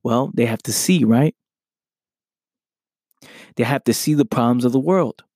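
Male podcast host, a bit hoarse, earnest motivational talk, close dry mic, mid-range voice. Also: mid-range voice, measured pace, mellow, no room sound. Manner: earnest, repeats "right?" often. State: slightly hoarse from losing his voice.

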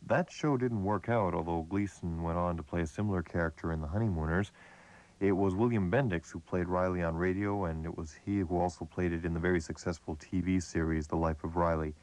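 A man's voice: deep voice, darkish tone. A man hosting a classic radio program, giving an informal, conversational and explanatory introduction that is partly read. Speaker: host of a classic radio show.

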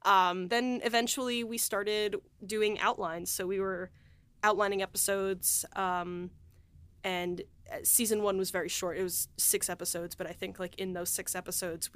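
A woman's voice: mid-range voice, a bit bright, clear, resonant tone, no room sound. A woman hosting a casual behind-the-scenes podcast chat, rambling along and peppering her sentences with "like". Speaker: host and audio drama writer-producer.

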